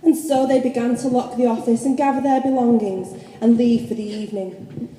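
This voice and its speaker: A woman's voice: fairly high pitch, steady tone, normal speed, warm tone, a little hiss or hum. A woman narrating a story for a radio play in a scripted, dramatic storytelling style. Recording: echoey hall.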